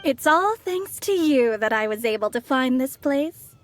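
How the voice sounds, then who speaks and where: A woman's voice: high-pitched, expressive, a little slow, no echo, faint music behind. A woman voicing a sly, poised young outlander schemer in a video game, teasing and theatrically polite. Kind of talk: English video game voice acting.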